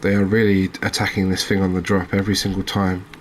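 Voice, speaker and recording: A man with a low voice and an extremely flat, even intonation gives quiet gameplay commentary. He muses unhurriedly, pausing as he searches for words. A faint layer of ambient noise sits behind him. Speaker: host of a gaming channel playing a fishing game.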